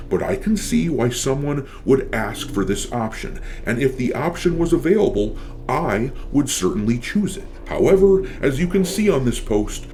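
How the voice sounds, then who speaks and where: A man's voice: mid-range voice, mellow, slight background noise. A man giving opinionated gaming commentary as a channel host, blunt and sardonic, swearing casually.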